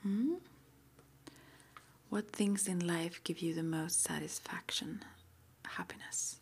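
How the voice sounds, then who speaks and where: A woman whispering softly and breathily as an interviewer in an ASMR roleplay, murmuring little acknowledgements between her questions. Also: fairly low pitch, steady tone, slow, gravelly, a little hiss or hum. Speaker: interviewer at a witch school.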